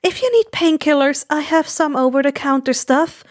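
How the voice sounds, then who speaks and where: A woman plays a middle-aged mother from an anime storybook tale. She speaks in a high voice, earnest and fussy, caring but easily flustered. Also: normal speed, natural voice. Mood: serious, caring.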